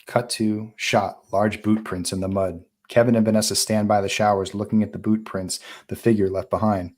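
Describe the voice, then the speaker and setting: A man's voice: fairly low pitch, flat delivery, normal speed, a little mellow. A man narrating stage directions from a film script at a live table read.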